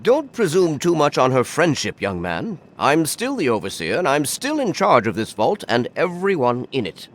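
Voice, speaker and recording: A man's voice: on the high side, wildly swooping pitch, normal speed, hardly any pauses, fairly bright tone. A man playing a stern, pompous, authoritarian vault overseer in a video game, formal and condescending. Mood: stern, warning. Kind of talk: video game character acting.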